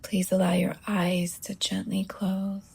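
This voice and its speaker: A woman guiding a relaxation meditation as a yoga teacher, in a hushed, breathy near-whisper, gently and with long pauses between phrases. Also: medium pitch, completely flat delivery.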